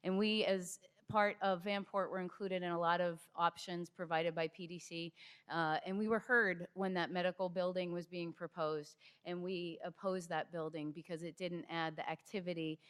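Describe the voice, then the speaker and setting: Woman, low and smooth voice, earnest and grateful, business owner at a public meeting. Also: steady tone, moderate pace.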